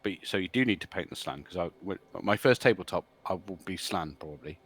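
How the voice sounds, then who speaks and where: A man's voice: sits low, expressive, fairly quick, a bit bright. A man co-hosting a casual stream about a fantasy sports board game league, rambling and trailing off. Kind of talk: casual chat commentary.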